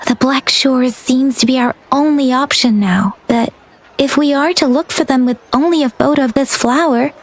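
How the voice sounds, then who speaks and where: A woman voicing a gentle, thoughtful young woman in a video game, speaking in a polite, earnest, measured way. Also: high voice, a faint crowd. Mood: pondering. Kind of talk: video game character dialogue.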